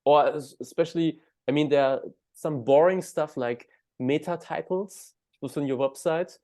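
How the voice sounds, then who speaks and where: A man's voice: fairly high pitch, moderate pace, mellow. German-accented man explaining step by step. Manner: restarts words often, explains step by step. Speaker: guest. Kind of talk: explanatory interview talk.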